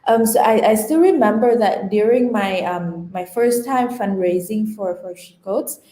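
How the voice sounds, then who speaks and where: A woman's voice: medium pitch, measured pace, warm tone. A young woman from Vietnam, giving an informal, conversational talk full of personal storytelling and casual "like"s. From rural Vietnam. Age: young adult. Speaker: conference speaker, women-in-tech community organizer. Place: indoor room on an online conference call. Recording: roomy, echoey online call.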